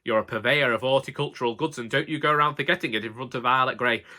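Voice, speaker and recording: A man's voice: medium pitch, quick pace, sharp tone, natural voice. A man voicing a bossy, snobbish, house-proud wife who nags her husband haughtily in a comic audiobook. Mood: haughty nagging. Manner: haughty, nagging.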